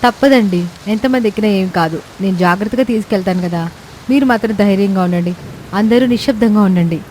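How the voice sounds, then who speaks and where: A woman's voice: medium pitch, fast, darkish tone, a little hiss or hum, close to an everyday voice, poor audio. Woman acting brave young village daughter-in-law, faint rain behind. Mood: urgent, reassuring. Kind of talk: Telugu moral story voice acting.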